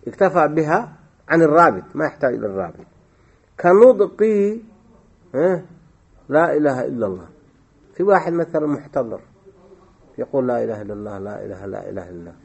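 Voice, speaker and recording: Husky man teaching grammar, lively pitch. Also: highs cut off. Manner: questioning students with repeated "ha?". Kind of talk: classroom lesson explaining a grammar text.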